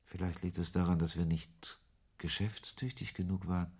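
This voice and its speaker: A man's voice: steady tone, normal speed, a little mellow. An actor playing a brooding, ironic author who narrates his own story in a radio play, with a literary, sardonic manner. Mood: ironic musing. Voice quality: low, husky voice. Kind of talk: first-person radio play narration and dialogue.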